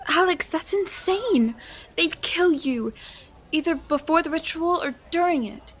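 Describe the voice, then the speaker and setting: An actress playing an anxious, principled young heroine in an audio drama, hesitant and cautious. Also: female voice, extremely high pitch, animated. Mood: alarmed, upset. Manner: hesitant, halting.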